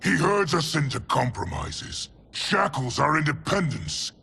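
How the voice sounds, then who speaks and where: A gravelly, belligerent warrior general. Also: male voice, fairly high pitch, very animated, measured pace, full character voice. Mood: defiant, scornful. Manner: haughty, forceful, clipped. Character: proud, belligerent warrior general of a fantasy empire. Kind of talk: dramatic video game cutscene acting.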